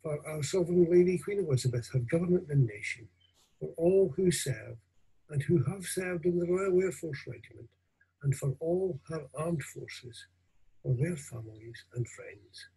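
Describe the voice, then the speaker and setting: Older man praying, very husky voice. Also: fairly high pitch, lively intonation, dark tone, poor audio. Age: 60s or older. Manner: solemn, reverent, deliberate. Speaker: minister leading prayers. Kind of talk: formal prayer. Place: small room at home. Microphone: video call or webcam at desk distance.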